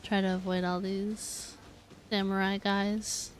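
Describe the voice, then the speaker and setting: A woman's voice: mid-range voice, little pitch movement, slow, a little hiss or hum. A woman game streamer giving chatty, informal, self-deprecating live commentary as she plays. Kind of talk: casual live gameplay commentary.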